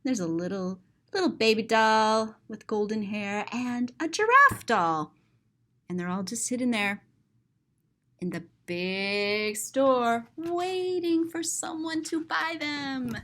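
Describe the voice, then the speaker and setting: A woman reading a children's story aloud and describing the pictures, expressive and explanatory. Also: fairly high pitch, slow.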